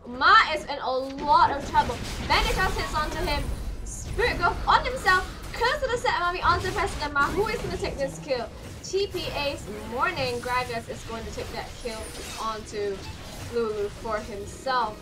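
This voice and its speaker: A woman doing solo live play-by-play of a game, hoarse and losing her voice, with quick calls that climb in the fights. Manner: rapid play-by-play that jumps higher in fights. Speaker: esports caster. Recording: clear music behind the voice.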